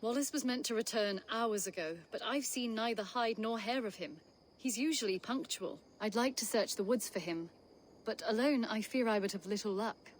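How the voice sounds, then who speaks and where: A woman's voice: on the high side, moderate pace, crowd noise, lightly acted. An actress playing a worried, earnest village woman in a video game, polite and plain-spoken.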